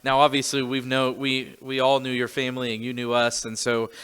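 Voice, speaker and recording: A man's voice: medium pitch, monotone, fairly quick, fairly bright tone, clear, resonant tone. A young man from Missouri hosting a church podcast conversation, speaking hesitantly with many fillers and restarts. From Joplin, Missouri. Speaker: podcast host.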